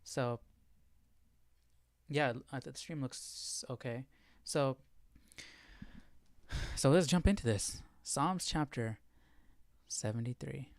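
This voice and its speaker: A man hosting a live Bible study stream, talking informally and haltingly as he thinks aloud. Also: medium pitch, creaky, no room sound. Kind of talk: casual livestream chat.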